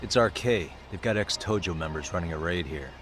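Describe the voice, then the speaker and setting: A man playing a cool, polite investigator in a video game, speaking in a measured, questioning way. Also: low-pitched, a bit bright, a little hiss or hum. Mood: urgent. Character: cool, polite male investigator, composed and probing. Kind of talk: video game cutscene acting.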